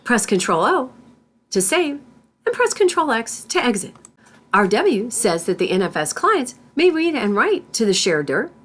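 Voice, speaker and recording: A woman instructor reading scripted tutorial narration in a deliberate, step-by-step manner. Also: on the high side, big pitch swings. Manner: deliberate, spells out terms symbol by symbol.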